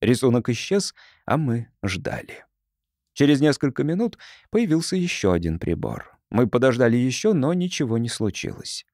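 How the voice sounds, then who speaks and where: A man's voice: medium pitch, sing-song, normal speed, a little mellow, no room sound, studio quality. A man reading a first-person story as an audiobook narrator in a scripted, storytelling style.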